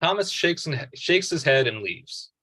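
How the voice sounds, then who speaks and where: Bright, higher-pitched man reading stage directions. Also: sing-song, measured pace, husky. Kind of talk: scripted narration.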